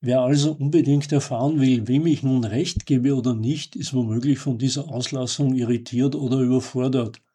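A man reading a scripted commentary as a radio host, in a measured, formal and emphatic way. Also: mid-range voice, fairly even intonation, warm tone, breathy edge.

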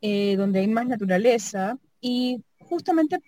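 A woman's voice: fairly high pitch, lively intonation, a little slow, warm tone, dry recording. Reflective woman thinking aloud, dry sound. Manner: reflective, thinks aloud, frequent tag questions. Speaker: sound artist and double bass player. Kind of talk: casual conversation about her artistic work.

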